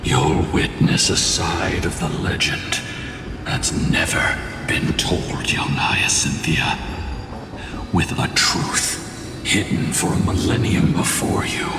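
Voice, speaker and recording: A solemn ancient male spirit from a fantasy game, speaks very low and unhurried. He is grave and formal, his voice ringing through a long, distant reverb. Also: animated, exaggerated voice. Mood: solemn, challenging. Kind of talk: theatrical fantasy video game dialogue. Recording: very echoey distant hall, music behind.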